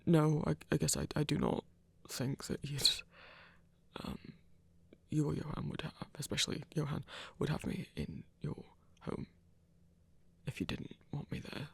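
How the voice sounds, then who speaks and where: A performer playing a lonely, self-doubting werewolf in an intimate audio roleplay, gentle and awkward, with a stammering, hesitant delivery. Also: medium pitch, animated, a little slow, creaky, slightly rough, full character voice. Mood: flustered, unsure.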